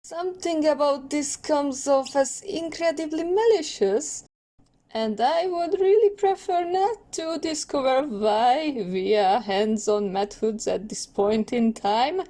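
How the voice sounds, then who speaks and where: A woman's voice: sits high, slow pace, warm tone, pitched above the performer's own voice. Woman voicing a wordy, wry boy genius. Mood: dry, uneasy. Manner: pedantic, wordy, sarcastic. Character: trapped male teenage tech genius, wordy, wry, anxious. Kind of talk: comic dub character acting.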